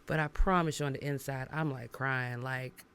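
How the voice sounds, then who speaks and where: A woman singer, a guest on a podcast, talking in a relaxed, chatty way and laughing easily. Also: very deep voice, normal speed, a little hiss or hum. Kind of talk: casual interview chat.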